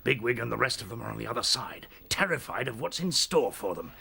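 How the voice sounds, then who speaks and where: A man's voice: mid-range voice, sing-song, fairly quick, gravelly, pushed and bright, a little hiss or hum, exaggerated voice. A man playing a ruthless, domineering rabbit general in a radio drama, brusque and commanding.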